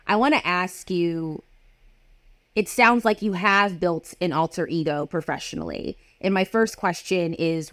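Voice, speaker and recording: A woman's voice: on the low side, no room sound. A woman hosting a casual interview over a video call, upbeat and emphatic, putting direct questions to her guest. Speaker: podcast host.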